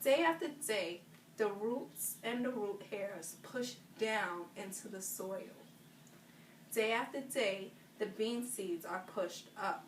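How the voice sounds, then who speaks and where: A woman's voice: medium pitch, takes it slowly. Female teacher for a children's read-aloud lesson, prompting with questions; roomy, far-from-mic sound. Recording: roomy, distant mic, clear background noise.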